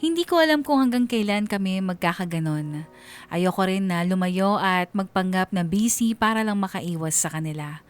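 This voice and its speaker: A woman's voice: mid-range voice, moderate pace, lightly acted. Reflective married woman voiced by an actress, music behind. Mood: worried, reflective. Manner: reflective, confiding. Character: troubled married woman confiding her family conflict. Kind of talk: first-person narration in a radio drama.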